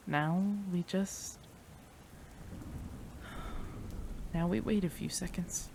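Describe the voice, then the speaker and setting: A woman performs a female mad scientist in an intimate audio drama, devoted and desperate. She speaks slowly at a medium pitch, full of feeling for the one she loves. Rain patters faintly behind her voice. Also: darkish tone, a little hiss or hum. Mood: anxious, pleading. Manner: emotional, breathy sighs, addresses a beloved tenderly.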